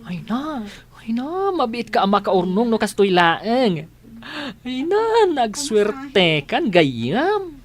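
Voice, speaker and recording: Woman as nosy village woman, Ilocano accent, very animated melody, slow drawn-out exclamations, excitable, comedy radio drama. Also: on the high side. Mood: amazed, curious.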